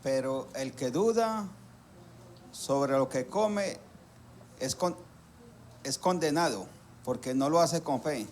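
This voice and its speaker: A man reading a Bible verse aloud in church, careful and halting, stumbling over a word. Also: medium pitch, lively intonation, darkish tone, slight background noise. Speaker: church member reading scripture.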